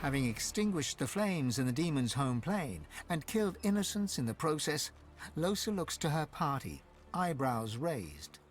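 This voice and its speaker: A man narrating a fantasy video game as a dramatic, expressive storyteller. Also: fairly high pitch, measured pace, soft background music. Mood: dramatic, measured.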